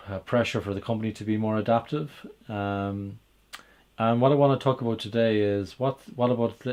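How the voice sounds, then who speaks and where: A man's voice: fairly low pitch, darkish tone. A man with an Irish accent giving a solo explanatory talk, conversational and hesitant, full of "uh" fillers and restarts. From Ireland. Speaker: podcast host and agile coach.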